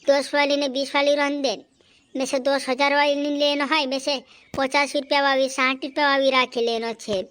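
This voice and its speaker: A performer voicing a thrifty village woman haggling over prices in a cartoon, speaking a Bhili dialect in a high, sped-up voice. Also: flat delivery, moderate pace, fairly bright tone.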